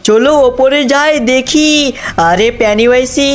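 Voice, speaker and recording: A man's voice: very high-pitched, moderate pace. A young man, dramatically narrating a cartoon story and talking to viewers. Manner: dramatic, addresses viewers as guys. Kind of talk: excited cartoon game narration.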